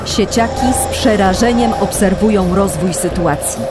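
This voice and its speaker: A woman's voice: mid-range voice, normal speed. A woman reading dramatic scripted narration for a children's audio drama. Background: loud music.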